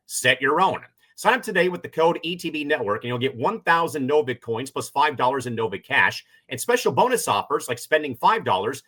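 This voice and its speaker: A man's voice: mid-range voice, sharp tone, slightly rough. A man reading a scripted advertisement as an announcer. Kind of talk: scripted ad read.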